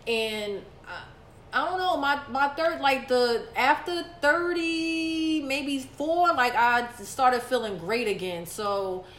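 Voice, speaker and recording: A woman's voice: sits high, takes it slowly, a bit bright. Chatty woman in her thirties, echoey room. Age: 30s. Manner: chatty, repeats herself, says "like" a lot. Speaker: podcast co-host. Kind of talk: casual podcast chat.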